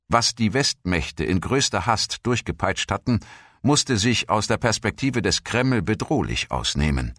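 A low-pitched male narrator reads a scripted historical documentary text. His delivery is measured and formal, as in an audiobook. The sound is dry and close, with hardly any room on it. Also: fairly bright tone, no echo.

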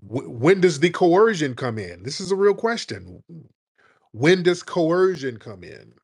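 A man's voice: fairly high pitch, big pitch swings, unhurried, darkish tone, slightly rough. A man hosting a call-in commentary show, combative and opinionated, firing rhetorical questions and acting out quoted voices. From United States. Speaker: livestream show host.

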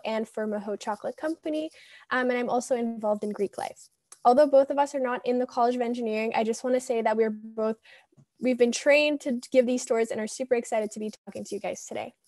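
A woman's voice: a little high, darkish tone, no echo. A young woman from Belgium, a student tour guide introducing herself with enthusiasm over a video call. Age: young adult. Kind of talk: casual self-introduction.

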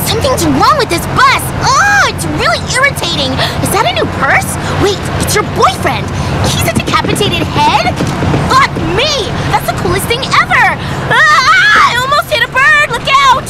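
A woman voicing an excitable, foul-mouthed little sister in a video game cutscene, shrieky and gushing. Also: very high voice, normal speed, over loud music, over a noisy crowd, rough recording. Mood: frantic excitement.

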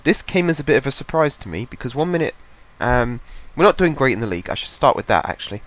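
A man's voice: mid-range voice, sing-song, fast talker, a bit bright. Chatty man with a cold. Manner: chatty, rambling, thinks aloud with fillers. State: has a cold, congested. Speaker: gaming channel host. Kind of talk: casual game commentary. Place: home desk.

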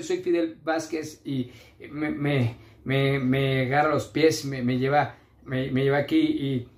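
Stammering, confused drug addict. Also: male voice, on the high side. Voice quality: stammering, halting speech. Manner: fragmented, obsessively repeating himself. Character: drug addict man, confused, pleading, paranoid, high on drugs. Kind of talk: theatrical dramatic monologue. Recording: echoey room.

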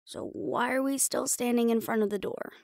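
A woman's voice: a little high, fairly even intonation, moderate pace, darkish tone, no room sound, studio quality, lightly acted. A woman playing a tough, stubborn female hunter with a wry, defiant, casual manner in an audio roleplay.

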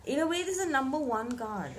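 A young woman, slightly hoarse from a cold, giving a reflective weekly tarot reading to camera in a small room, often repeating words for emphasis. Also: high voice, animated, quick pace, slight background noise. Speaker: tarot reader. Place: bedroom with curtained window, indoors.

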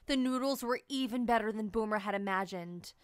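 A woman reading a children's picture book aloud in an expressive, animated storytelling manner. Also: a little high, fairly quick, no echo, clean studio sound. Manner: animated storytelling, sing-song. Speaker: read-aloud narrator.

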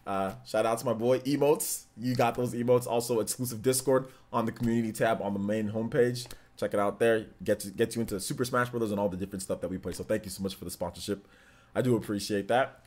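A man's voice: on the low side, fairly even intonation, quick pace, a little mellow. A man in his thirties streaming from his home gaming room, chatting loosely with viewers, laughing often and repeating himself. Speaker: streamer answering chat questions. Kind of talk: casual live-stream chat.

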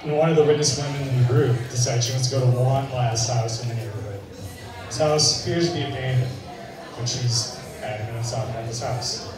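A young man doing a stand-up set on a bar stage, with a dry, deadpan delivery, filmed on a handheld mic from out in the crowd. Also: medium pitch, a little fast, music in the background. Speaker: stand-up comedian. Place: bar stage with a live audience. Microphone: handheld mic, filmed from far in the crowd. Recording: echoey bar sound from far off, crowd laughter.

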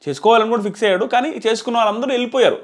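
A man's voice: very high voice, rapid-fire. A man in his thirties giving a casual, emphatic spoken review to camera, repeating phrases for stress, on a lapel mic indoors. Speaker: reality show reviewer. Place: small plain room indoors. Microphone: clip-on lapel mic.

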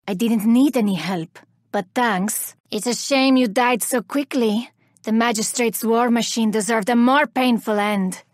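A woman's voice: on the high side, a little slow, dry recording, studio quality, lightly acted. An actress voicing a menacing, scornful female reaper herald for a video game, haughty, theatrical and bent on vengeance. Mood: haughty contempt. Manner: cold, haughty, theatrical delivery. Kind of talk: video game character voice lines.

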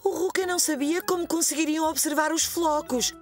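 A woman narrating a preschool cartoon as a gentle storyteller, with a European Portuguese accent. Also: high-pitched, fairly quick, faint music behind, lightly acted. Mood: storytelling. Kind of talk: scripted children's storytelling narration.

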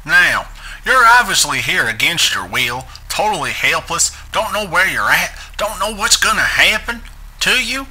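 A man's voice: high-pitched, sing-song, a little slow, sharp tone, husky, low-quality recording. A man giving a dramatic reading of a script as its narrator. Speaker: narrator reading a transcript aloud.

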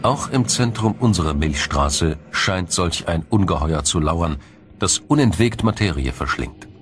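A man reading scripted science documentary narration in a measured, dramatic storytelling manner. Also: low-pitched, animated. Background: music.

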